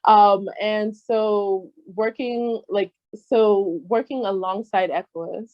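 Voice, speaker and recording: A young woman with an American accent speaks as an interview guest at a middle pitch, pausing on fillers and restarting her sentences. She was born in Nigeria but grew up in the States. Her voice comes through dull and dry, with no room sound. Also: fairly even intonation, no echo. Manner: hesitant, many fillers and restarts. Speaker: guest trade expert. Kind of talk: casual interview.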